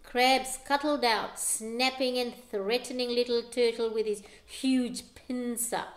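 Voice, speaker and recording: A woman's voice: on the high side, steady tone, takes it slowly. A middle-aged woman reading a picture book aloud to young children at home, with dramatic delivery and explanatory asides. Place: living room at home.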